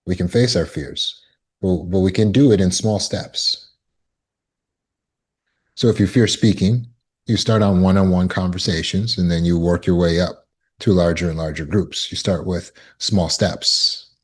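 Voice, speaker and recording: Slow, very low-voiced male coach. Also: slightly rough. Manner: slow, deliberate coaching with repeated affirmations. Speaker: trauma recovery coach. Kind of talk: self-help teaching talk.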